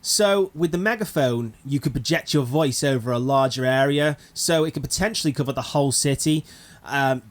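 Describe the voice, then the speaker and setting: A man narrating an informal gaming explainer, talking directly to viewers in a conversational way. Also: on the high side. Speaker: video presenter of a gaming concept. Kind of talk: informal explainer narration of a game item idea.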